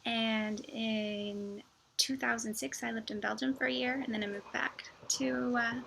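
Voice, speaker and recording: A woman's voice: a little high, fairly even intonation, a little slow, creaky, a bit bright, slight background noise, low-quality recording. A middle-aged American woman giving an informal explanatory talk, illustrating her points with everyday examples. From United States (Wichita, Kansas). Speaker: vlogger, expat presenter.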